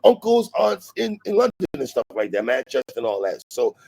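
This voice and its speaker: A man hosting a casual interview chat, hyped and affirming, with big swings in pitch. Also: very animated, brisk, gravelly, mellow, breathy edge. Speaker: podcast host.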